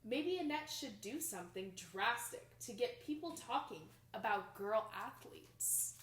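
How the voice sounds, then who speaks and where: A young woman reads a children's picture book aloud with expressive, dramatic emphasis, recorded in a small room indoors. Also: a little high, measured pace. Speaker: storybook reader. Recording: roomy home recording.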